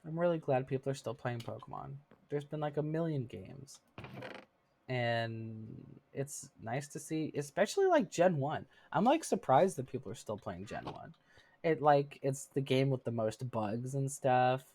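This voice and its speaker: A thirty-something streamer talks loosely and warmly to chat between moves in a game. The speech is full of fillers and easy laughs, at a middling pitch. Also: expressive, a little slow, slight background noise. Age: 30s. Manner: chatty, laughs often, many fillers. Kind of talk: casual live-stream chat with viewers.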